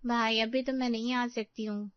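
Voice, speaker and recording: Woman playing high-voiced, reluctant married sister. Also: fairly even intonation, moderate pace, smooth, no echo, highs cut off, close to an everyday voice. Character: reluctant, busy married sister on a phone call. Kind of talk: Hindi family story acting.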